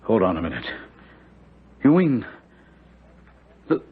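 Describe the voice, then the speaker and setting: An actor playing a middle-aged gentleman doctor in a radio drama, exclamatory and commanding, with some hesitation. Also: lowish voice, wildly swooping pitch, slow pace, slightly rough, exaggerated voice. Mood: brisk, skeptical. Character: brisk, skeptical gentleman doctor, shaken by discoveries. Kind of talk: 1970s radio drama acting. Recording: muffled, lo-fi vintage radio recording.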